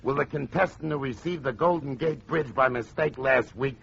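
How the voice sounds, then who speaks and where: A man's voice: mid-range voice, normal speed, creaky, a bit bright. An actor playing a bombastic, declamatory male game show announcer in a 1940s radio comedy parody. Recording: dull, muffled old radio recording.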